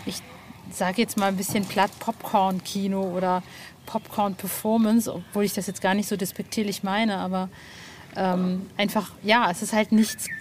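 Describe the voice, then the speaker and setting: A woman's voice: mid-range voice, flat delivery, normal speed. A woman chatting casually as a reporter on location outdoors. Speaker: podcast reporter. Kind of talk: casual on-site reportage chat. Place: outdoors at a castle ruin. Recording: outdoor field recording with clear noise and clicks.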